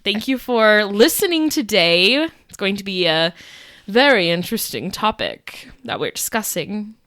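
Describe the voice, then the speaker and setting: Loud chatty young woman on a podcast mic, lively up-and-down voice, dry close sound. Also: medium pitch, unhurried, no room sound. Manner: loud, laughs often, chatty with fillers. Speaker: podcast co-host. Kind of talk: casual chat. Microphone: podcast microphone.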